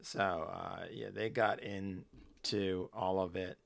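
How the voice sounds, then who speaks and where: A man in casual chat, rambling with lots of fillers and swearing, hoarse as he loses his voice. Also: low-pitched, steady tone, slow. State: losing his voice. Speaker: podcast co-host.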